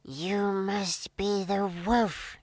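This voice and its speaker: A young goat kid from a fairy tale, put on by a male narrator. The voice is raised high and childlike, with slow, emphatic phrasing. It sounds innocent and wary, in a dry recording. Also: steady tone, no echo, exaggerated voice. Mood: wary, defiant.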